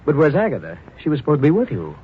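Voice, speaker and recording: An actor playing a genial, trusting, well-bred husband in vintage radio drama, polite and earnest. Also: male voice, a little high, huge pitch swings, rapid-fire. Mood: cheerful, curious. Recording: muffled, faintly noisy old radio recording.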